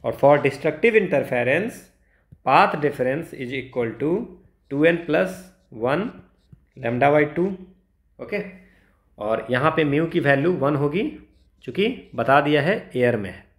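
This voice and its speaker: An Indian man teaching exam problems step by step in a halting tutorial style, mixing Hindi and English and often adding small check-in tags. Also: fairly high pitch, lively intonation.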